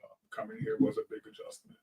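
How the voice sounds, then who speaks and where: A man's voice: fast talker, dark tone, far from the mic. Understated man, a bit off the mic. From Arkansas, southern United States. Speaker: guest musician, gospel singer and organist. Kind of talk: casual interview answers.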